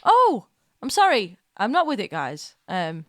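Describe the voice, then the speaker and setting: A woman's voice: fairly high pitch, measured pace, no room sound, clean studio sound. Chatty woman with a cold. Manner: chatty, rambling, excitable, with many restarts. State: has a cold, slight cough. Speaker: streamer. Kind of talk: casual live-stream chat. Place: at home.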